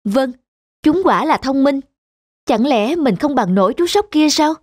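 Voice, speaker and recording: A woman's voice: high voice, expressive, a little slow, faint music behind. A woman voicing an earnest young husband in a cartoon drama, with a heartfelt delivery. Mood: resolute.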